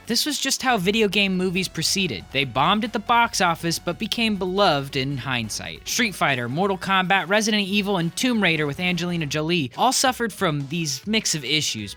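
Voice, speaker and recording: Lively bright-voiced male essay narrator. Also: sits high. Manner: wry, punchy list delivery. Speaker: video essay host. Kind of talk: scripted comedic video essay narration.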